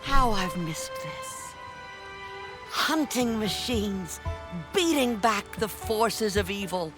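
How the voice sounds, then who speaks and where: A hardy old matriarch from an adventure game. She speaks slowly and with dry, sardonic humour, her pitch moving expressively. Music is clear behind her. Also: animated. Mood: nostalgic, hearty. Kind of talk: video game voice acting.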